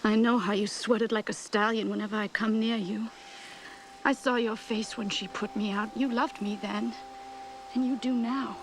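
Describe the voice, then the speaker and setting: An actress plays a scheming young woman in a period film, passionate and pleading. Her voice is high and intense, with noticeable hum in the soundtrack. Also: fairly even intonation, normal speed, natural voice. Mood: desperate longing. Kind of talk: period film drama acting. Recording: humming, noisy film soundtrack.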